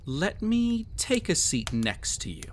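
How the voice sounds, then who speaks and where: A man's voice: high voice, wildly swooping pitch, slow, noisy background, full character voice. A man playing a cruel, possessive, vain emperor toying with a captive in an intimate audio roleplay, with haughty, teasing, theatrical phrasing. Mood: smug indulgence.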